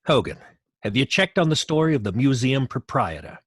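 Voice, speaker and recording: Man acting a husky, scolding police commissioner. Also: mid-range voice, sing-song, fairly quick. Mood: scornful, impatient. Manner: scolding, barking. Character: gruff, sarcastic, impatient police commissioner. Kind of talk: old-time radio drama acting.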